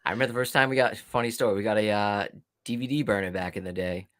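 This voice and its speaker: A man in his late thirties chatting casually on a livestream, telling rapid, profane stories. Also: lowish voice, a bit bright, dry recording, clean studio sound. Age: 30s. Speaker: livestream guest. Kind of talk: casual chat.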